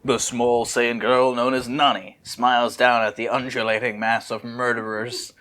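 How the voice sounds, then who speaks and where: A man reads a story aloud as narrator in an informal, amateur style. His voice is bright and forceful at an average pitch, and he drops into casual, joking asides. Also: little pitch movement, moderate pace.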